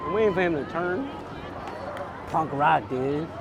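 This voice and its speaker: A man's voice: on the high side, expressive, measured pace, vocal fry, dull audio. A young man chatting casually and playfully in slang while out as a street photographer. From New York. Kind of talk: casual chat while out shooting. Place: outdoors at a busy urban beachside boardwalk. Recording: busy outdoor crowd and street noise.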